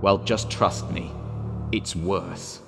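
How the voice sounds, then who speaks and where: An actor playing a disembodied recorded guide in a one-act drama, speaking in a measured, deliberate, persuasive way. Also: male voice, sits low, fairly even intonation, creaky, full character voice. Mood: calmly persuasive. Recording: long hall reverb, loud music behind.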